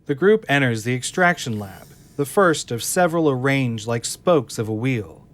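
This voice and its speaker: Male screenplay narrator, middling-high pitch. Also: expressive, normal speed, a bit bright.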